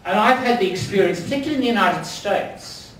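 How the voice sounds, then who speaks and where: A man's voice: sits high, lively intonation, fast, fairly bright tone, a little hiss or hum. An older man with an Australian accent giving a wry, anecdotal after-dinner speech in a large dining room. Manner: wry, anecdotal, with many ums. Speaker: dinner speaker. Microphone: distant mic. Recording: echoey hall from far off.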